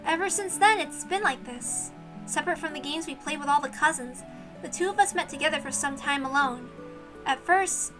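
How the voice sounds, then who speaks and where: A woman's voice: sits high, rough recording. A woman reading a visual novel aloud as dramatic scripted narration.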